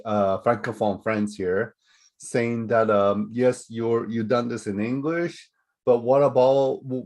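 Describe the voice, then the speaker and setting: A man's voice: on the low side, darkish tone. Rambling middle-aged man hosting a webinar. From Canada. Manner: rambling, many fillers and restarts. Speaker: webinar host. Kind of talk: casual live webinar Q and A.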